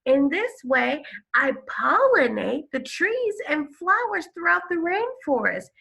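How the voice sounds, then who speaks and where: Woman doing a high, earnest little bee. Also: big pitch swings, takes it slowly, vocal fry, full character voice. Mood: earnest, insistent. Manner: bright, emphatic, high-pitched pleading. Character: small busy earnest rainforest bee. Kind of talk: storybook read-aloud.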